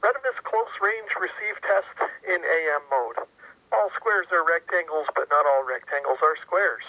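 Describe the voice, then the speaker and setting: A man making deliberate test announcements, heard through a muffled CB radio transmission. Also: on the high side. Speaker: radio tester.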